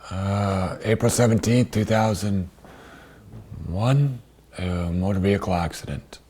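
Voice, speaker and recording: A man in his thirties answers in a documentary interview. His voice is husky and somewhat low, and he keeps his answers brief and halting. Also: mellow. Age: 30s.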